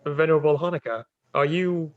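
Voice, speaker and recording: A man playing a deferential, dutiful samurai envoy in a dramatic reading, formal and measured, on slightly dull audio. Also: fairly high pitch, close to an everyday voice. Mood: apologetic, concerned.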